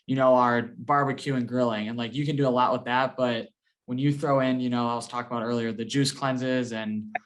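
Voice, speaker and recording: A man's voice: mid-range voice, fairly even intonation, fairly quick. A man hosting a casual interview, friendly and loose, with lots of "you know" and "um". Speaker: host.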